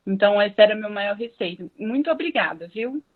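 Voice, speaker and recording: A woman's voice: mid-range voice, animated, normal speed. A woman parent who volunteers as a German teacher, speaking earnestly and thanking the speakers on a video call. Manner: grateful, earnest. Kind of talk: online Q&A. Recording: tinny phone-like audio.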